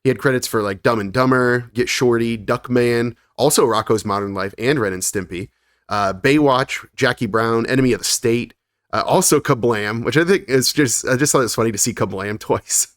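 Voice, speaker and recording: A man's voice: mid-range voice, moderate pace, fairly bright tone, no room sound. Guy on a podcast rattling off movie credits, laughs a lot, mid voice, dry close mic. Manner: rattles off long lists with frequent laughs and fillers. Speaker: podcast co-host. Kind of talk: casual podcast chat.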